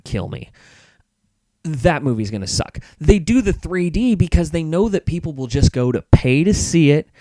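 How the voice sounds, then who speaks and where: An American man with a mid-pitched voice, recorded dry and without room sound. He talks casually as a podcast guest reviewing films, laying out his opinions and explaining his reasoning as he goes. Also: a little mellow, no room sound.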